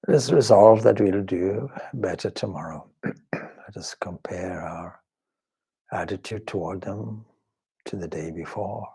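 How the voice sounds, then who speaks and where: Male meditation leader, low-pitched and heavily breathy-husky, measured and reverent, pausing often. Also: dark tone, low-quality recording. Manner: measured, reverent, pausing between short phrases. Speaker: swami leading a guided meditation. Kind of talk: slow spoken guided meditation.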